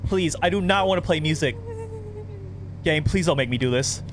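A man's voice: on the high side, normal speed, background noise. A young man giving casual, uneasy commentary as he hosts a horror game playthrough. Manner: uneasy, reluctant reactions. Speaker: gaming video host. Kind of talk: casual let's play commentary.

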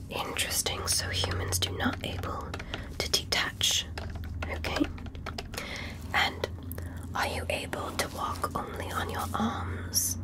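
Woman doing asmr alien doctor thing, super slow breathy whisper, polite and careful. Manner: slow, careful, gently instructing, formal wording. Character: curious, courteous alien doctor examining a human. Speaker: ASMR performer playing an examiner. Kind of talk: whispered ASMR medical roleplay.

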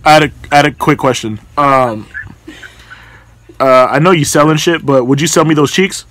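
A man's voice: fairly high pitch, normal speed, fairly bright tone. A man in his twenties making casual prank phone calls, hesitant and falsely polite, with slangy wording. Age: 20s. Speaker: prank caller. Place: small bedroom, indoors. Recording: slight background noise, close home recording.

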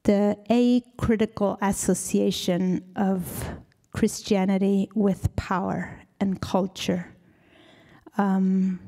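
Middle-aged woman, mellow tone, unhurried pace, earnest, reflective lecture delivery, handheld stage mic. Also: medium pitch, vocal fry. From Argentina. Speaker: guest lecturer. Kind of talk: reflective public lecture answer. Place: auditorium stage. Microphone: handheld microphone.

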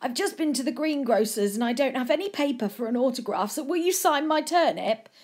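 A woman's voice: sits high, brisk, voice pushed up. A woman voicing an eager, chatty old man, a folksy star-struck fan in a children's story. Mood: eager, admiring. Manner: folksy, excitable.

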